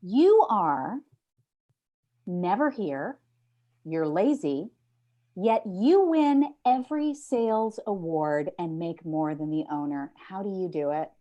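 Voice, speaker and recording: A woman's voice: lowish voice, animated, slow pace, mellow. A woman playing a sarcastic, insulting sitcom receptionist with a pointed manner, over a lo-fi video call. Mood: scolding. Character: Amy, overly sarcastic, insulting female receptionist. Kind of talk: sitcom table read.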